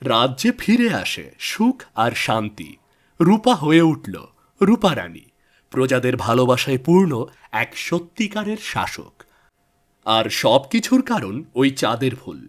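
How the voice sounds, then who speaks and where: A man's voice: mid-range voice, huge pitch swings, a little slow. A man narrating a scripted fairy tale as a storyteller.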